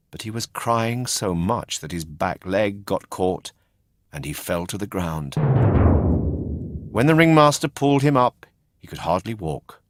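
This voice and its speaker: Expressive male storyteller with very animated pitch. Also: no room sound, clean studio sound. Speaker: storybook narrator. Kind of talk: scripted children's story narration.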